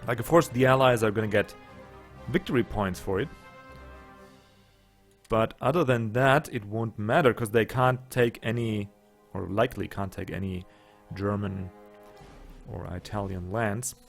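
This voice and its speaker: A man's voice: fairly low pitch, measured pace, gravelly, faint music behind. A young man, not a native English speaker, casually commenting on his strategy game as he plays, thinking aloud and joking with his units. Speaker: let's play host.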